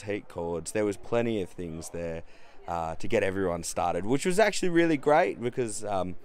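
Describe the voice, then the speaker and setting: A man's voice: low voice, darkish tone. A man in his thirties with an Australian accent, chatting casually as a vlogger in a rambling, self-deprecating way. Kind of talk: casual vlog chat.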